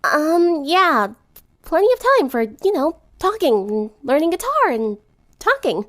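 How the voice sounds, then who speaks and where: A woman's voice: high-pitched, very animated, a little slow, pitched above the performer's own voice. A woman voicing a shy, bookish, awkward teenage girl in a cartoon story, hesitant and earnest. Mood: flustered.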